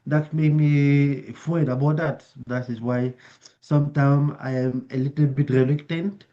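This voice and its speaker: Halting man with a strong foreign accent. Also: fairly high pitch, steady tone, highs cut off. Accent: non-native English. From outside Canada, non-native English speaker. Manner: hesitant, searching for words, many restarts. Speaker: meeting participant, English learner. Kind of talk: casual online meeting talk.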